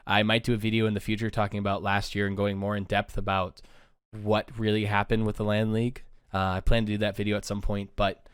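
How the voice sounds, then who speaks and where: A young man talks to his viewers in a low, clean, smooth voice. His delivery is subdued and close to monotone, weighed down by sadness. The sound is dry and close, with no room around it. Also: quick pace, no room sound. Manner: subdued, low-energy, self-described monotone. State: sad, downcast. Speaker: YouTuber and pro gamer. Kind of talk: casual vlog talk to camera.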